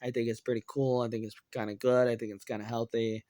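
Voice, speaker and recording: A man in his thirties with an American accent, giving a rambling personal update in a hesitant, halting way and often repeating himself. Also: medium pitch, level tone, warm tone, dry recording, clean studio sound. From Oregon, United States. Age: 30s. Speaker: online video creator.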